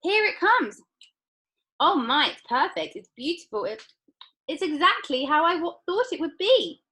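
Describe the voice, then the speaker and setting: A woman's voice: high voice, big pitch swings, measured pace, a bit bright, voice pushed up, full character voice. A woman voicing a boastful, greedy young girl in a storybook read-aloud, bratty and showy. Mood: gloating.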